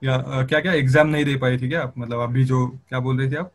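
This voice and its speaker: A man with an Indian accent and a medium-pitched voice interviews a guest in a casual style. He talks at length, circling back and rewording each question before handing it over. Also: fairly even intonation, quick pace. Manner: wordy, rephrases questions at length. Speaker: interviewer, channel host. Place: remote call on social media live.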